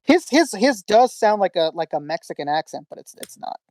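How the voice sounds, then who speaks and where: A man's voice: high-pitched, very animated, brisk, darkish tone, no room sound. A man co-hosting a podcast, talking casually and restarting his sentences often. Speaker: podcast co-host. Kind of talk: casual chat.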